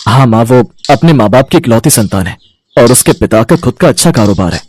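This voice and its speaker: A man's voice: mid-range voice, expressive, brisk, darkish tone, dry recording, close to an everyday voice. A man playing an earnest, respectful young adult son in a cartoon family story, explaining himself. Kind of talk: Hindi cartoon bedtime-story character acting.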